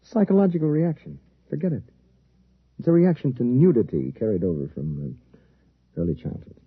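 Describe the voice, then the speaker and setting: An actor playing a rational, reassuring science officer with the rank of major in a 1950s radio drama. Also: male voice, a little high, very animated, normal speed, vocal fry, breathy edge, dry recording, phone-quality sound, exaggerated voice. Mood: brisk, reassuring. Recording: thin, dry, band-limited old radio recording.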